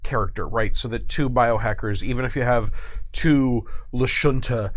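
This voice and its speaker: A man's voice: mid-range voice, normal speed, no room sound. A man speaking as an interviewed game designer, explaining things casually and methodically, point by point with rhetorical questions. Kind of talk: casual explanatory studio discussion.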